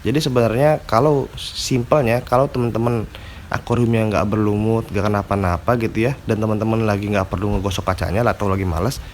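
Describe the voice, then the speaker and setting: A man's voice: medium pitch, a little hiss or hum. A man with a stuffy nose from a cold, casually explaining aquarium care in a slangy, filler-heavy vlog style. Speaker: aquarium hobby vlogger. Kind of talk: casual tutorial chat.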